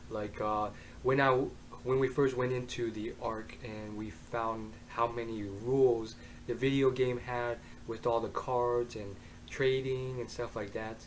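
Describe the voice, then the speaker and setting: Hoarse young man rambling to webcam. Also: mid-range voice, a little hiss or hum, poor audio. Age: young adult. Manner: rambling, many ums and uhs. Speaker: anime reviewer. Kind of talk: casual vlog review. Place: bedroom, indoors. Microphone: webcam at desk.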